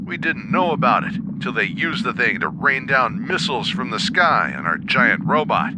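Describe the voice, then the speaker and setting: A man's voice: mid-range voice, big pitch swings, moderate pace, very noisy. A man playing a wry veteran wasteland soldier, telling war stories conversationally as the storyteller of an audio drama. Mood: wry storytelling. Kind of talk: in-character storytelling over radio. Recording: battle sound effects behind the voice.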